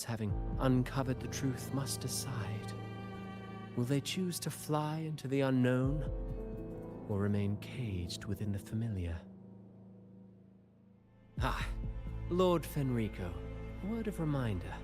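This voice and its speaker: A man acting a sly, mocking schemer and storyteller in a video game, speaking in florid, measured, theatrical lines. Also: mid-range voice, expressive. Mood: sly, cryptic. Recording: voice over game music.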